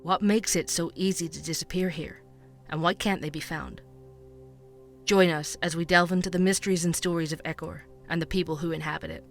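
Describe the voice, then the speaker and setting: A man playing a dogged young true-crime podcast host, narrating in a measured, earnest way over soft music. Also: fairly high pitch, fairly even intonation, creaky. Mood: ominous. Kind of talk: fiction podcast drama.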